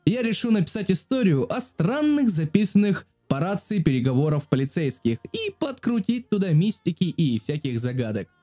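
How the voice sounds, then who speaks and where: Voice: medium pitch, big pitch swings, moderate pace, few pauses, warm tone. A video creator narrating a casual joking tutorial in a crude, slangy, self-deprecating way. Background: music.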